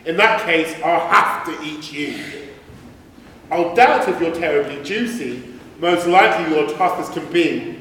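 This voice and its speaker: A man voicing a boastful, greedy male rat highwayman who chants his boasts in a sing-song way. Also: measured pace, bright, forceful tone, a little hiss or hum. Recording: echoey hall, from far off.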